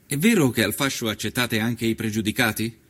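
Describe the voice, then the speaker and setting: A man playing an educated, probing journalist of the 1890s in a documentary drama, formal and measured as he interviews. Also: mid-range voice, a bit bright, breathy edge. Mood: inquiring.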